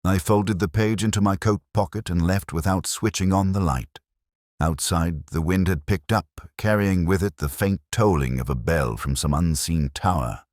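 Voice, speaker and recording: A man reading scripted first-person detective fiction in a measured, literary, brooding manner. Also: sits low, darkish tone, no echo, clean studio sound. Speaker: narrator.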